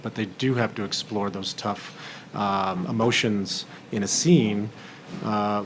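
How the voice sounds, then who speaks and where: A man with a fairly low voice puts questions to a panel. He is polite and a little hesitant, speaking casually over room noise. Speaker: panel participant asking questions. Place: festival roundtable, live event. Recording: noisy room ambience.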